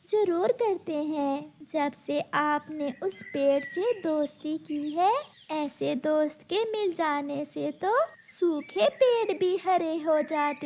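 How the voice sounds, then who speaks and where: A woman voicing a gentle, poor, ailing mother bird in a children's cartoon, tender, patient and consoling. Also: high voice, a little hiss or hum. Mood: encouraging. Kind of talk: children's cartoon story dialogue.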